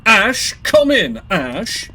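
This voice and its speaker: A man's voice: sits high, very animated, extremely slow, bright, forceful tone, pitched above the performer's own voice, heavily acted. A man voicing a worried military commander on the radio who pleads urgently.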